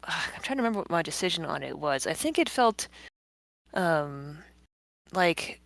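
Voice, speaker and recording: A woman's voice: low voice, very animated, normal speed, creaky, no echo. A woman giving casual behind-the-scenes commentary as a comic creator, rambling and thinking aloud as she goes. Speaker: webcomic creator guest.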